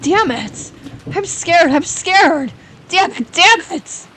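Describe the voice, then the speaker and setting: Woman voicing an unhinged male writer, slow-spoken. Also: on the high side, big pitch swings, a little hiss or hum, rough recording. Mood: panicked. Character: freelance writer man, panicky then coldly menacing killer.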